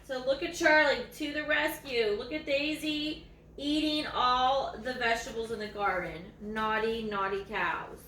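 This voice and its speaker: A woman's voice: a little high, measured pace, pushed and bright. A woman doing a picture book read-aloud for children, adding chatty, cooing asides. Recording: echoey room, distant mic, faint noise.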